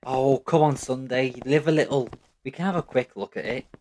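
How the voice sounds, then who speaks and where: A man's voice: medium pitch, moderate pace, a little mellow, slight background noise, close to an everyday voice. A man playing a sulky, flippant young traveller who hides hurt behind jokes in an audio drama. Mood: coaxing. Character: sulky, joking young male traveller who feels inadequate.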